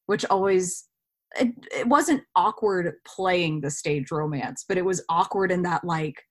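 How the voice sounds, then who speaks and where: Lady actor in a casual interview, voice a bit on the low side, tells stories by quoting her own thoughts. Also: measured pace.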